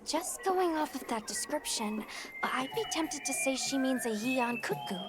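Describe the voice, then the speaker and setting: An eager young researcher in a video game, high-voiced and very melodic. She explains things chattily while loud music plays behind her. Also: lively intonation, a little slow. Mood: curious. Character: bright-minded eager young female field researcher.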